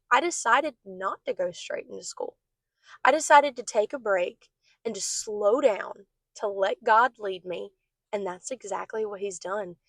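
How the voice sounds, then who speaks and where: A young woman with a hoarse, worn voice talks earnestly and encouragingly to her viewers about faith. Her pitch sits in an ordinary middle range. The sound is dry and close, with almost no room echo. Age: young adult. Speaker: host of a faith channel. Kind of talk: casual devotional talk to camera.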